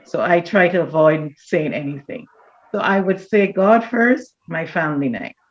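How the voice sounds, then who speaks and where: A woman's voice: deep voice, animated, moderate pace. Older woman, muffled recording. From Barbados. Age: older, around 70. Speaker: church elder, interview guest. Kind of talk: reminiscing in an interview.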